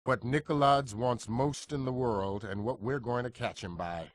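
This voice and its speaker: An actor playing a businesslike, authoritative intelligence chief briefing a field agent in a spy game. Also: male voice, medium pitch, moderate pace, dry recording, clean studio sound, natural voice.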